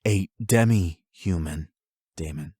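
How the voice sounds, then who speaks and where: A man voicing a calm, erudite therapist in an anime audio roleplay, speaking in a measured, formal, gently probing way. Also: sits low, breathy edge, no echo, studio quality, pitched below the performer's own voice, exaggerated voice. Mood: measured, explanatory. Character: calm, erudite male therapist and demi-human expert.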